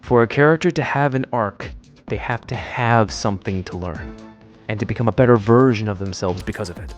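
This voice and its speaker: A man's voice: fairly low pitch, fairly quick, soft background music. A man delivering scripted narration as the presenter of a video essay.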